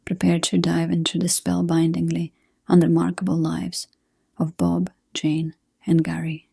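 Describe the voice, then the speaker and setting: A woman reading a scripted sleep hypnosis session in a gentle, hushed, soothing manner. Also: lowish voice, completely flat delivery, unhurried, creaky, husky, no echo, clean studio sound. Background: soft new-age music.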